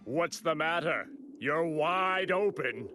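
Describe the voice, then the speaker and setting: Evil monster dude from anime game, slow pompous sneer, menacing, swoopy delivery, blasting music behind. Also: male voice, a little high, expressive. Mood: sneering menace. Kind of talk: English anime video game voice acting. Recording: very loud music under voice.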